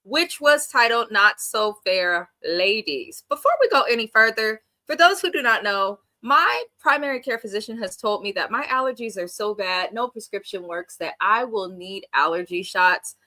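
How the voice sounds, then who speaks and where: Bright, chatty woman with a stuffy nose. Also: mid-range voice, normal speed, hardly any pauses. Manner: chatty, animated, slangy. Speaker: reality TV reviewer. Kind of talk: casual recap and reaction.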